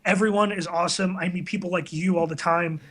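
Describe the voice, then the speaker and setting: A man's voice: sits high, steady tone, brisk, a bit bright. A man talking casually as an interview guest, earnest and a little hesitant, putting himself down modestly. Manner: earnest, hesitant, self-deprecating. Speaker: interview guest, web video creator. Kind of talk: casual conversational chat.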